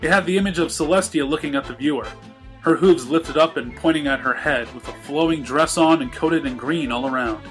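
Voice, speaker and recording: A man narrates a piece of fan fiction from a script. His voice is on the higher side for a man. Faint music plays behind him. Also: a little fast, fairly bright tone, rough recording. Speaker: story reader.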